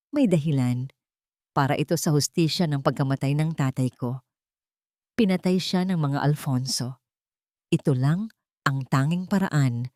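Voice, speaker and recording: Casting: female narrator as remorseful male lover in a romance audio story, earnest and begging, low register, dry recording. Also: warm tone, no echo. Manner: pleading, earnest, weighty. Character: desperate, guilt-ridden man pleading with his beloved.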